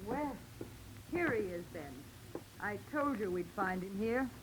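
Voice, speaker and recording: A woman's voice: mid-range voice, lively intonation, unhurried, natural voice. An actress playing a villain's confident female accomplice in a 1940s radio drama. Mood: quietly pleased. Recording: noisy old radio recording.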